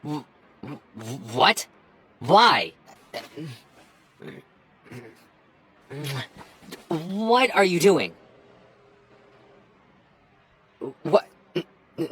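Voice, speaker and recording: A young man acting a flustered, bickering but sincere childhood friend in love, in an ASMR roleplay with halting, stammering delivery. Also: fairly high pitch, big pitch swings, fairly bright tone, slightly rough, heavily acted. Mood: flustered, defensive.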